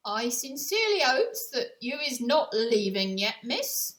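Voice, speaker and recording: A woman's voice: fairly high pitch, big pitch swings, unhurried, fairly bright tone. Woman playing a male guinea pig shopkeeper, storybook character, folksy, polite, eager, ungrammatical. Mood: politely eager.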